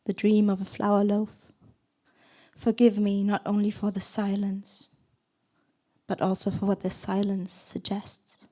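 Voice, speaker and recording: A woman poet, not a native English speaker, reading her poems aloud at a literary event in a soft, measured voice. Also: mid-range voice, monotone. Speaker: poet reading at a book presentation. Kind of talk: poetry reading with brief asides.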